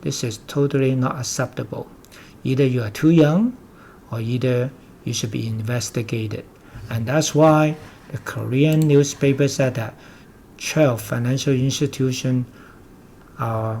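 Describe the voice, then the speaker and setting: An older man with a Hong Kong accent, a native Cantonese speaker, lectures on investing at a middle pitch. He drives his points home bluntly and repeats his warnings again and again. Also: fairly even intonation, unhurried, a little mellow, slight background noise. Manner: emphatic and repetitive, with blunt warnings. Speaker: investment commentator. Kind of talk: lecturing market commentary.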